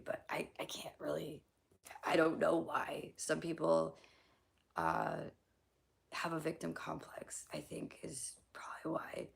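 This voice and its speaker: A young woman chatting casually on a livestream, answering chat questions bluntly with lots of fillers and swearing. Her throat is hoarse and scratchy from allergies, and she often drops into a whisper. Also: deep voice. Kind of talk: casual live-stream chat.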